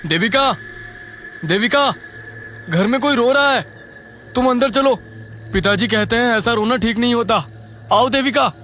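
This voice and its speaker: A man puts on a scared young village husband for a horror cartoon. The voice is strikingly high and tense, pleading anxiously. Soft music sits behind it. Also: unhurried, long pauses, full character voice. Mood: anxious, urgent. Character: frightened young village bridegroom calling his wife.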